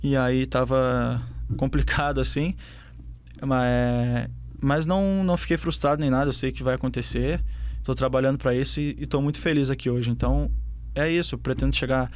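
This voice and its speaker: A man's voice: medium pitch, a little mellow. A young man with a southern Brazilian accent, a footballer answering interview questions casually, with many fillers and restarts. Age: young adult. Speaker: footballer guest.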